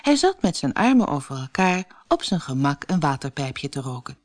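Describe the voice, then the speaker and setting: Animated woman reading a fairy tale. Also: low voice, moderate pace. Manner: dramatic, carefully phrased storytelling. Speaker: audiobook narrator. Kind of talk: expressive children's fairy-tale narration.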